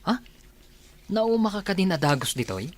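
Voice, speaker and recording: A man's voice: high-pitched, big pitch swings, normal speed. An actor playing an easygoing, practical male buddy in a radio drama, with a casual, joshing delivery. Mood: teasing, friendly. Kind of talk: Ilocano radio drama acting.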